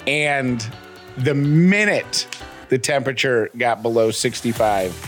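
A man's voice: mid-range voice, slow, a bit bright. Man co-hosting a casual podcast, music behind. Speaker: podcast co-host. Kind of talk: casual chat.

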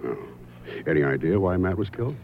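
Deep, gravelly radio detective. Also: male voice, lively intonation, fairly quick. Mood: offhand, probing. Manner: hesitant, offhand questioning. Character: dry, casual male private detective, curious and unhurried. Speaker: private investigator narrating his own case. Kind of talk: radio drama acting and first-person narration. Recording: dull old radio broadcast, music behind.

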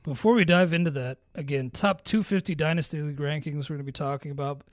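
A man hosting a podcast, talking casually about fantasy baseball in a raspy voice and clearing his throat now and then. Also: on the high side, quick pace, no room sound, clean studio sound. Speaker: podcast host.

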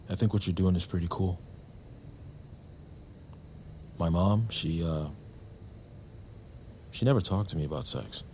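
An actor playing an earnest, awkward young army veteran in an audio drama, halting and confessional as he talks about buried shame and desire. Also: low-pitched, mellow, slight background noise. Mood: hesitant, confiding. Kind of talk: naturalistic audio drama acting.

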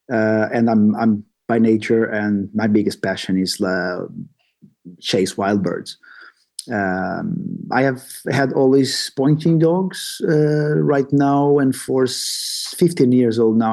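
A man from Portugal with a Portuguese accent, answering interview questions in halting English as he searches for words. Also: on the low side, creaky, darkish tone. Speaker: podcast guest, bird hunter. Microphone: remote call.

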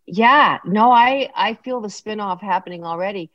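A woman's voice: medium pitch, moderate pace, dry recording. A Canadian woman hosting a casual interview podcast, chatty and rambling, often laughing. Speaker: podcast host. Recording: dry, dull lo-fi sound.

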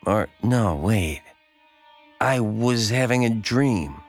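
Slow, stammering, timid lonely man. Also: on the low side, lively intonation, faint music behind. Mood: groggy, confused. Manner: hesitant, stammering. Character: timid, lonely small-town man, nervous and eager to please. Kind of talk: audio horror drama acting.

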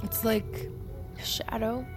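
A woman's voice: on the high side, little pitch movement, slow, soft background music. An actress playing a young female Army recruit, defiant, sardonic and haunted, in a naturalistic audio drama. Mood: guarded, uneasy. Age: young adult.

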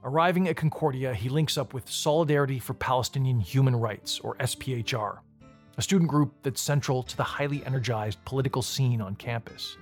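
A man's voice: mid-range voice, brisk, faint music behind. A man hosting a podcast, narrating a scripted documentary story in a conversational way.

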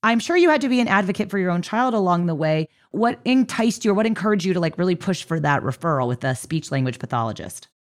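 Female podcast host, lower voice, conversational interviewer who explains and asks detailed questions. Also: animated, fast, few pauses.

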